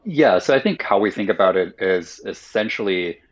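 Husky low-voiced man, native Finnish speaker. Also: lively intonation, moderate pace, lo-fi sound. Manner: thoughtful, explains at length with many "like"s. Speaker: guest, company founder. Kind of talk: casual podcast interview.

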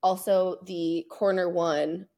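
Emphatic, lower-pitched woman chatting casually. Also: steady tone, takes it slowly, dark tone. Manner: emphatic, hyperbolic, opinionated. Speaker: podcast co-host. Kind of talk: casual sports chat.

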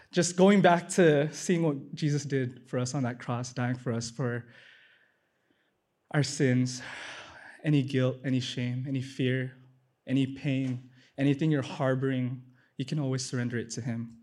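A man's voice: medium pitch, fairly even intonation, measured pace, darkish tone. Earnest man sharing his faith. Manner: earnest, repeats phrases for emphasis. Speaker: testimony speaker. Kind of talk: personal testimony.

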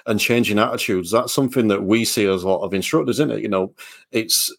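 A man's voice: lowish voice, fast, gravelly. A man hosting a podcast, easygoing in how he moves the talk between guests. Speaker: podcast host. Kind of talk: casual chat.

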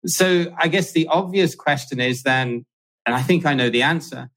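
A man's voice: a little high, moderate pace, a bit bright. A man hosting a livestreamed podcast interview, asking questions conversationally and often restarting his phrases. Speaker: podcast host.

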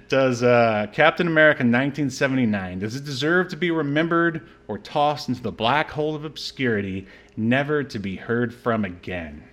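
A man's voice: medium pitch, expressive, normal speed, a bit bright. A man hosting a podcast, talking through a film in a casual, rambling and hesitant way. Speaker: podcast host. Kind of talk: casual film review chat.

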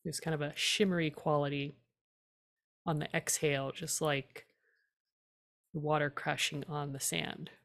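A woman guides a meditation in a fairly low voice with plenty of vocal fry. She gives gentle, simple instructions in a calm and unhurried manner, leaving space between phrases. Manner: calm, unhurried, leaves long pauses. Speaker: guided tea meditation teacher.

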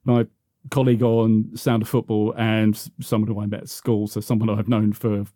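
Mellow, low-voiced London man in his fifties. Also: level tone, normal speed, no room sound. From South London, England. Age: 50s. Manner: hesitant, rambling, self-correcting. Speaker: interview guest, football podcaster. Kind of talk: casual reminiscing interview.

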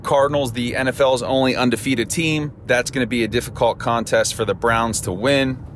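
A man hosting a solo sports podcast, talking through upcoming games in an upbeat, chatty way, his voice temporarily husky. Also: medium pitch, steady tone, normal speed, fairly bright tone, a little hiss or hum. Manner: upbeat, chatty analysis with a cheerful sign-off. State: voice temporarily husky and lower than his norm. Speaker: podcast host.

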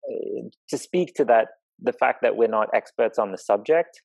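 A man from Zimbabwe speaking reflectively in conversation, thoughtful and halting as he searches for words. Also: medium pitch, gravelly, darkish tone, no room sound, lo-fi sound. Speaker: interview guest, professional climbing route setter.

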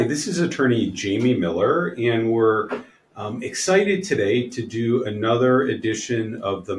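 A man who is an attorney hosting a podcast, asking questions in a friendly, halting way with frequent restarts. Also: on the low side, roomy sound. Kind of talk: podcast interview.

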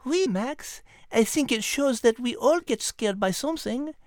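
A woman's voice: on the high side, expressive, a little slow, no echo, clean studio sound. A woman playing a refined, thoughtful female animal with a French accent, co-hosting a children's story podcast. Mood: sweet, thoughtful. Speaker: co-host.

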